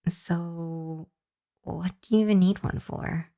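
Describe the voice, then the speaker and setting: A woman plays a young female hellhound in an intimate ASMR roleplay. Her voice sits low for a woman and moves slowly. She is earnest but easily flustered, hesitating and halting as she talks to the listener. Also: steady tone, creaky, mellow. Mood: tentative, curious. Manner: hesitant, flustered, halting with sighs and nervous chuckles. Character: shy, earnest young female hellhound demon seeking shelter.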